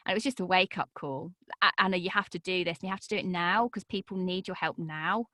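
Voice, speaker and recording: Lively woman with a dry close sound. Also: fast, no echo. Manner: animated, swings high and low in pitch. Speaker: podcast guest, voice and podcast coach. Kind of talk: energetic interview talk.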